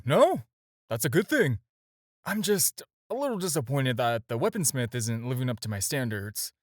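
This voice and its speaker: A man acting in an audio roleplay as a smooth-talking, flirtatious villain who teases and coaxes the listener with playful pauses. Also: mid-range voice, dry recording, studio quality. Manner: coaxing, playful, hesitant pauses and drawn-out teasing. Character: smooth-talking, flirtatious adult male supervillain, teasing and persuasive.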